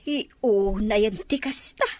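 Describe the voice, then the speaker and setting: A woman's voice: on the high side, slow, dry recording. A woman playing a concerned, cautioning older confidante in an Ilocano Filipino radio drama. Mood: shocked, concerned.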